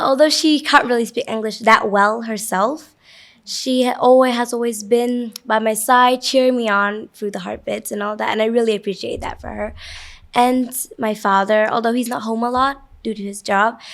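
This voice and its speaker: A young woman, a student who grew up with Vietnamese, answering interview questions in English fluently and thoughtfully. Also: fairly high pitch. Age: teens.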